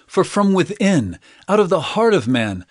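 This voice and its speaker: Casting: an authoritative, earnest holy teacher in Bible drama, animated pitch, slightly rough husky tone. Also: male voice, normal speed, no room sound, clean studio sound. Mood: stern teaching. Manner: earnest, declarative.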